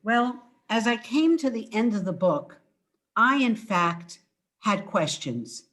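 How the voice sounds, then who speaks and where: An older woman giving an informal book talk over a video call from home, halting and emphatic as she speaks. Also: medium pitch, big pitch swings, a bit bright. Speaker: author presenting her book. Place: indoors at home.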